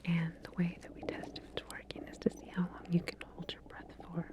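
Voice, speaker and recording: A woman speaks in a breathy, low-pitched whisper. She plays a medical researcher in an ASMR roleplay, giving procedural instructions gently and with some hesitation. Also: completely flat delivery, brisk.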